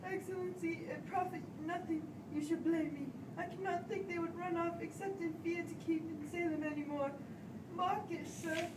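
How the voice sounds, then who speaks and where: An anxious Puritan man of the church played by a woman, high in pitch, stammering and pleading with his superiors. The amateur drama is heard from far off over clear background noise. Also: fairly even intonation, moderate pace. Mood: anxious, insistent. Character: anxious, distraught Puritan minister, a grown man. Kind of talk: amateur stage-play acting. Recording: echoey room, far mic, hissy noise.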